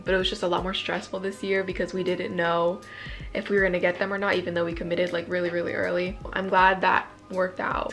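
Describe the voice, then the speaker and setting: A young woman from California chatting casually in a vlog, excitable and full of "like", her voice a bit raspy. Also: fairly low pitch. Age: young adult. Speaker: incoming college student vlogger.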